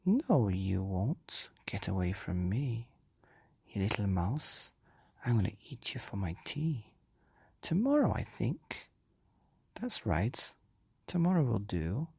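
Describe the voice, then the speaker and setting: A man voicing the Gruffalo's wife, a fierce, boastful monster mother who makes hushed, whispery threats. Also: mid-range voice, huge pitch swings, slow, long pauses, dry recording, clean studio sound, voice pushed up, heavily acted. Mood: menacing.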